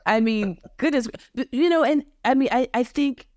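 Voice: high voice, moderate pace, a little mellow, no echo, clean studio sound. A podcast host asking a chef guest long, rambling questions in an enthusiastic, hedging way. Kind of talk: interview conversation.

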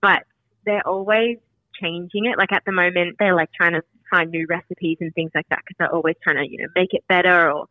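A woman's voice: on the low side, a little fast, no room sound, phone-quality sound. A woman, a podcast guest talking loosely and rambling with lots of "kind of" and "like". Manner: relaxed, rambling, says "kind of" and "like" a lot. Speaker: guest, marketing manager. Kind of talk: casual interview chat.

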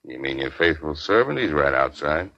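An actor playing a mocking, needling police detective in a 1940s radio crime drama, sarcastic and taunting. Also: male voice, a bass voice, very animated, normal speed, pushed and bright, exaggerated voice. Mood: taunting, sure. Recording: dull 1940s radio recording.

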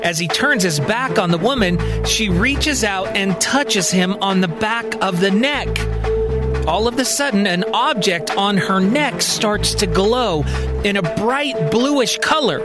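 A man narrating a science-fiction story in a calm, conversational storytelling manner. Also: sits high, measured pace, loud music behind the voice. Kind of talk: spoken sci-fi story narration.

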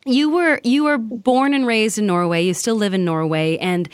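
A woman's voice: mid-range voice, moderate pace, no echo. A woman from the United States interviewing a guest in a casual, curious and chatty way, often using fillers.

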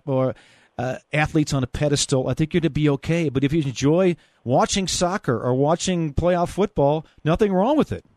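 A man's voice: on the high side, a little mellow, dry recording. A man with an American accent hosting a conversational sports call-in show on the radio.

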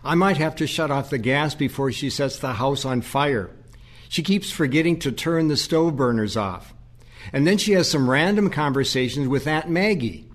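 A man's voice: mid-range voice, normal speed, big-room sound, natural voice. A man acting in an audio play as a stressed, pleading priest who cares for his confused mother. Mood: worried, stressed. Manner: earnest, burdened, pleading.

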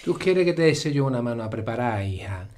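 A woman's voice: rumbling low, animated, fairly quick, a little mellow, a little hiss or hum. An actress playing a feisty old village grandmother with an Andalusian accent and exclamatory, colloquial talk, in a naturalistic audio drama. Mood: helpful, wry. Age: older.

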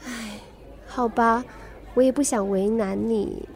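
A woman's voice: fairly high pitch, steady tone, takes it slowly, darkish tone, soft background music. A woman with a Singaporean accent playing a troubled, headstrong teenage daughter in a radio drama. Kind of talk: Mandarin radio drama acting.

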